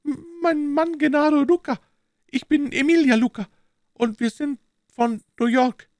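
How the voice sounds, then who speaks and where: A man voicing a passionate young Italian woman, breathless and exclaiming in a high put-on voice. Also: steady tone, measured pace, dry recording, clean studio sound. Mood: anxious. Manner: breathless, exclaiming, rising falsetto.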